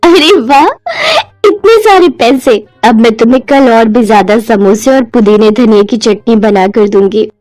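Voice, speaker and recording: A woman voicing a cheerful, caring village wife who talks excitedly in a cartoon story. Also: on the high side, a little slow, dry recording, soft background music, natural voice. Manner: excited, warm, homely.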